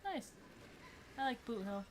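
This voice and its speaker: Loud, projecting young woman, chatty. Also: fairly high pitch, big pitch swings, unhurried, a crowd in the background. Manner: chatty, showing off plushies. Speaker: streamer. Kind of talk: casual chat.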